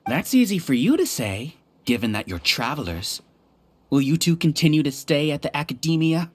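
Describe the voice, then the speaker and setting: A woman playing an arrogant, scheming hive-mind villain in a video game, speaking in measured, grandiose, condescending phrases over music. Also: low voice, very animated, breathy edge, exaggerated voice. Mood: scornful. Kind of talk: scripted video game voice acting.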